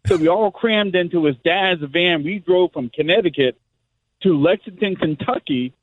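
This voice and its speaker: A middle-aged man with a slightly high voice calls a radio show to share a memory casually. He sounds thin over a narrow phone line. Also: moderate pace. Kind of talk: casual storytelling.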